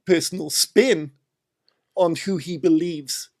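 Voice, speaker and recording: A British man with a fairly high voice discusses film casually. He explains his points step by step, leaning on repeated words for emphasis. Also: slow, a little mellow. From Britain.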